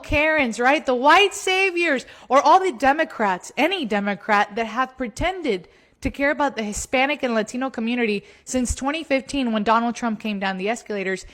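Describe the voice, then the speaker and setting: A woman's voice: on the high side, fairly bright tone. Sarcastic woman ranting to camera. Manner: mocking, sarcastic. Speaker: political commentator in a clip. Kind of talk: to-camera political rant.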